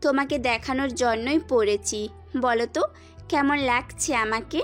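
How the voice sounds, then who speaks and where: A woman voicing a young female doctor, gentle and affectionate, in an amateur audio drama. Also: fairly high pitch, normal speed, natural voice. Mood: playful. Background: faint background music.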